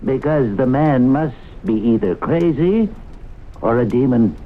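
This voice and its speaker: A man's voice: medium pitch, lively intonation, unhurried, lightly acted. Man acting scoffing, folksy skeptic on tinny radio. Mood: scornful. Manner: folksy, mocking. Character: scoffing, cocksure small-town skeptic husband. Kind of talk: old-time radio drama acting. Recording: noisy, phone-like old radio broadcast.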